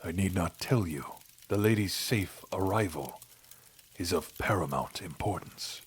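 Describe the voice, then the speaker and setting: A man voicing an aging, weary, solemn king in an audio drama, husky and breathy, dropping to a half-whisper as phrases end. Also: deep voice. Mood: commanding, warning. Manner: slow, grave, deliberate. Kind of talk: audio drama character acting.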